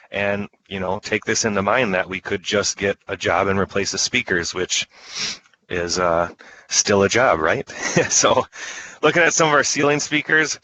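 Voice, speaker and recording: A man's voice: fairly low pitch, bright, forceful tone, low-quality recording. A man giving an informal product presentation online, talking in a conversational, enthusiastic salesman's way as a trainer.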